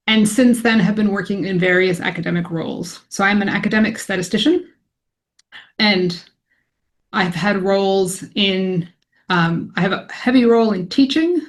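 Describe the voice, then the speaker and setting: A woman in her forties with a Canadian accent, an academic statistician giving a conversational presentation full of ums. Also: mid-range voice. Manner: conversational, frequent ums, self-deprecating. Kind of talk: informal presentation to colleagues.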